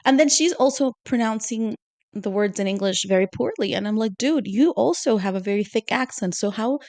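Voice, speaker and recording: A woman's voice: on the high side, expressive, a little fast, darkish tone, dry recording. A woman co-hosting a podcast in casual, opinionated chat, often asking "you know?". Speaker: podcast co-host.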